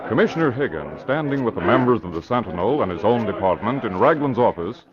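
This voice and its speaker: A man's voice: fairly low pitch, big pitch swings, quick pace, a loud crowd. A man narrating a scripted 1940s radio drama in formal, dramatic announcer style. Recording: muffled old radio broadcast.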